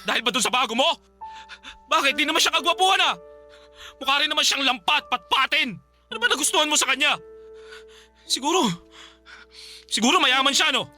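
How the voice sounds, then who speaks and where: A man's voice: extremely high pitch, expressive, brisk, pauses often, pushed and bright, full character voice. A man playing an obsessive, desperate spurned ex-boyfriend in a Filipino radio drama, whining and insistent as he pleads and threatens. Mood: menacing, jealous. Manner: whining, insistent, stammering repeated pleas. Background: music.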